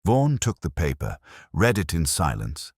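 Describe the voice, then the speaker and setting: A man narrating a Victorian detective story in a measured, dramatic storytelling manner. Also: low voice, wildly swooping pitch, a little mellow, slightly rough, dry recording, studio quality. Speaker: audiobook narrator.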